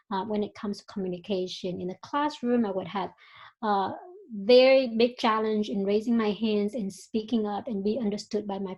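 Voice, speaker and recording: A woman's voice: fairly high pitch, a little mellow, lo-fi sound. A woman from Vietnam with a Vietnamese accent, a company founder giving an earnest webinar presentation and telling her own story with frequent fillers over a video call.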